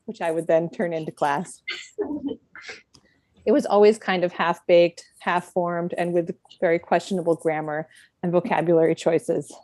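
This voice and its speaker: A woman in middle age, reading a prepared personal talk at a book event in a reflective, measured way with gentle self-deprecation. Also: on the low side, no echo. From United States.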